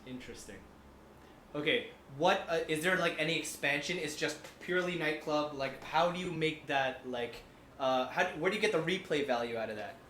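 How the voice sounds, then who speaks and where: Give a man with a slightly high voice, hosting a casual panel chat. He is playful and teasing as he moderates. The room is echoey, with faint noise behind him. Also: a little fast, a bit bright. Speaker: host.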